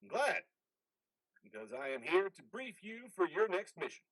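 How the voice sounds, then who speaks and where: A man performs a ghostly army commander in a play reading. The voice is fairly high for a man and very animated, giving orders with stern, manipulative authority in a dry recording. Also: normal speed, no room sound, heavily acted. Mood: commanding. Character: commanding ghost of a dead male army officer.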